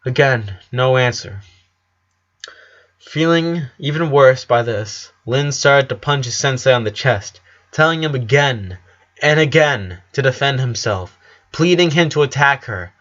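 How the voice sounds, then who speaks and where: A man's voice: mid-range voice, animated, a little slow, fairly bright tone, lo-fi sound. A man narrating a story in an earnest, dramatic audiobook reading. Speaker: narrator of a fan fiction story.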